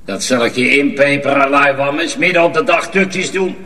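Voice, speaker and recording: A man's voice: mid-range voice, moderate pace, bright, forceful tone, natural voice. An actor playing a harsh, domineering master miller in a radio drama, barking commands at his apprentices. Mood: angry threat. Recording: distant from the microphone.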